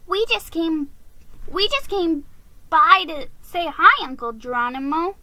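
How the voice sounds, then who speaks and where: Lady putting on a little storybook mouse boy, high bright voice, sweet and eager. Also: lively intonation, measured pace, no room sound, full character voice. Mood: affectionate.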